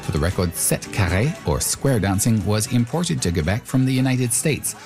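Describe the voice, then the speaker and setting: A man's voice: lowish voice, sing-song, moderate pace, over loud music. A man hosting a documentary, narrating from a script and putting questions to his guest. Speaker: host and reporter.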